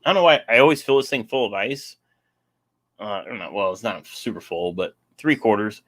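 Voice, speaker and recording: A man's voice: on the low side, brisk, fairly bright tone. A young man casually hosting a live stream, rambling and answering chat, his voice getting sore.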